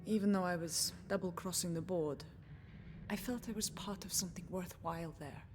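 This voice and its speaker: An actress playing a composed, defiant nurse in a video game, speaking in a measured, frank, dryly pointed way. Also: female voice, on the low side, background noise, close to an everyday voice. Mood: reflective. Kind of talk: video game dialogue acting. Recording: music behind the voice.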